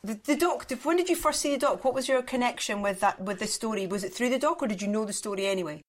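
A woman's voice: on the high side, animated, fast. A Scottish woman hosting a podcast interview, asking questions with enthusiastic, effusive praise. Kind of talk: friendly interview chat.

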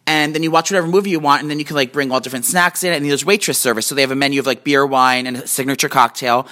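Fast-talking, chatty American man. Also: medium pitch, steady tone, bright, forceful tone. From New Jersey, USA. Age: late 30s. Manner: chatty, rambling, slangy. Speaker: podcast co-host. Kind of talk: casual chat, storytelling. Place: indoors at a home. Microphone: phone.

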